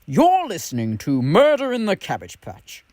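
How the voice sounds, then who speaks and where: Very animated, dry-sounding woman announcer. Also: medium pitch, moderate pace, no room sound. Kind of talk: scripted promotional announcement.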